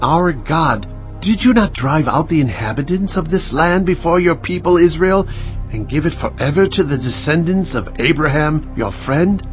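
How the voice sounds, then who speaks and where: Devout ancient king played by a man, declamatory and earnest, higher male voice, slightly muffled audio over music. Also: expressive, moderate pace, natural voice. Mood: fervent pleading. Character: pleading, devout king of Judah praying before his people. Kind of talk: dramatized audiobook acting.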